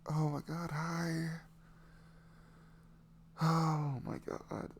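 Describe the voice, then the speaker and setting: A man playing a nervous, adoring boyfriend in an intimate ASMR roleplay, breathy, halting and awestruck. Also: on the high side, level tone, a little hiss or hum, pitched above the performer's own voice, exaggerated voice. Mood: overjoyed, awestruck. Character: nervous, adoring young boyfriend meeting online love.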